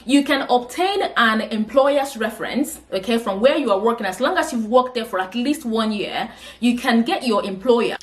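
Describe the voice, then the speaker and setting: A woman's voice: a little high, expressive, moderate pace. A woman with a Nigerian accent, coaching nurses in a patient, advising vlog talk that keeps checking in with the listener.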